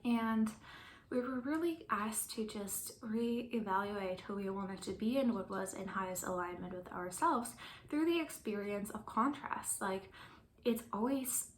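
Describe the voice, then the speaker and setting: A woman with a light German accent talks through an oracle card reading in a loose, meandering manner. She slips into soft whispers now and then. Her voice sits in a roomy, echoey space with a faint hiss underneath. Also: mid-range voice, unhurried. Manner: meandering and gentle, often trailing off into soft whispers. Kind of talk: casual spiritual card-reading vlog. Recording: roomy with faint background noise.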